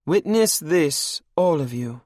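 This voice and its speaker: A man's voice: fairly high pitch, animated, takes it slowly, darkish tone, dry recording, studio quality, voice pushed up. A man voicing a cruel, regal female vampire queen who speaks sweetly and mockingly. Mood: mocking command.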